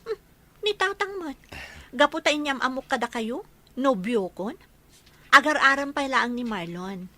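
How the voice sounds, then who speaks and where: A young village daughter in a radio comedy drama. Her voice is bright and sits a little high. She comes across as coy and respectful, a girl in love. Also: lively intonation, measured pace, long pauses, full character voice.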